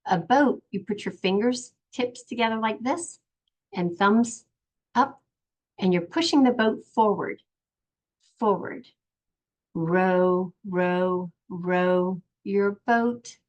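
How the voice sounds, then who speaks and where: An older woman patiently teaching young children in simple, step-by-step phrases, in the gentle style of a preschool lesson. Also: fairly high pitch, slightly rough. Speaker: children's sign language and song teacher.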